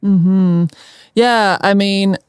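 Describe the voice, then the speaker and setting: A woman with an Australian accent, talking informally as a podcast guest and quick to laugh. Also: medium pitch, fairly even intonation, a little mellow, clean tone, dry recording, clean studio sound. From Melbourne, Australia. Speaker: podcast guest, startup founder. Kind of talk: conversational interview.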